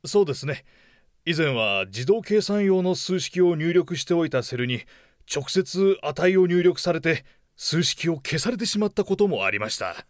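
A reasonable male office manager played by an actor in an audio drama. He speaks politely and deferentially in a measured way, with a fairly high male voice and dry recording. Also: big pitch swings, dry recording.

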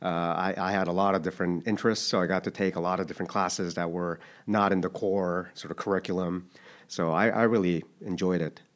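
Low-voiced guy in his 30s, grew up in japan, stops and restarts a lot while he talks. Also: steady tone, a little fast. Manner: thoughtful, hesitant with many restarts. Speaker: interview guest. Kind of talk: casual interview.

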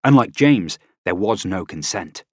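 A man's voice: low voice, animated, unhurried, dry recording, clean studio sound. A man reading scripted nonfiction as an audiobook narrator, with a dramatic, emphatic delivery.

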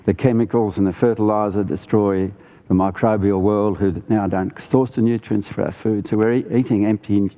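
Deep, creaky-voiced Australian man. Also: steady tone, moderate pace, dark tone. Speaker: farmer and ecologist, festival panellist. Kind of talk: live panel discussion.

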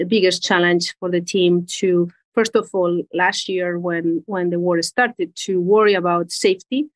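A woman's voice: lowish voice, steady tone, unhurried. A woman in her forties from Spain with a Spanish accent, answering interview questions as a company executive, carefully repeating words as she searches for phrasing. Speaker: corporate executive guest.

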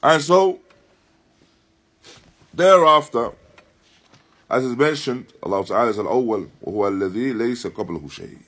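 Slightly husky man giving a religious lesson. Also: medium pitch, animated, racing, pauses often, a bit bright. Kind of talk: Islamic lecture explaining a text, with Arabic recitation. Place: live-streamed lesson at an Islamic centre.

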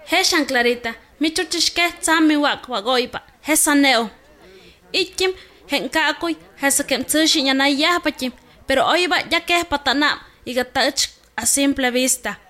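A woman's voice: sits high, a little slow, bright, forceful tone. A woman playing a doctor who explains things to a new mother in a health radio drama. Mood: explanatory.

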